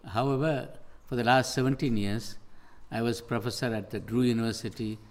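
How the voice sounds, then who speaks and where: A man's voice: mid-range voice, fairly even intonation, fairly quick. A man in his sixties from Sri Lanka, a retired professor, introducing himself with hesitant, self-deprecating humor. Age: 60s.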